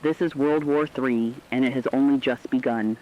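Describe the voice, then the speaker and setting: Guy doing a solemn forceful radio war reporter, kinda muffled lo-fi sound with rain. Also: medium pitch, steady tone, normal speed, lightly acted. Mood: grave. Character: grave radio correspondent relaying war news and presidential speech. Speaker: radio news correspondent. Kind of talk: scripted dramatic news broadcast.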